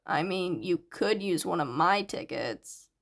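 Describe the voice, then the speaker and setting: A shy teenage schoolboy, voiced by a woman for an audio story. He speaks in a lowered, subdued register and sounds hesitant and soft-spoken. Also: lively intonation, unhurried, creaky. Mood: quietly earnest. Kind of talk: audiobook character dialogue.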